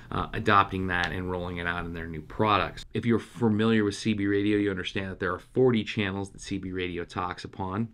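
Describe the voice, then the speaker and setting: A man's voice: low-pitched, a bit bright. A man hosting a review channel, talking casually through a product and trying it out. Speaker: host of a radio review channel. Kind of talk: casual product review and field test to camera.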